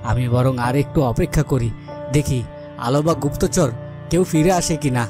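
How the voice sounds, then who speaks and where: A man's voice: fairly high pitch, moderate pace, lightly acted. A man playing a worried, brooding king in a fairy-tale cartoon, with dramatic, self-questioning delivery. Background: music.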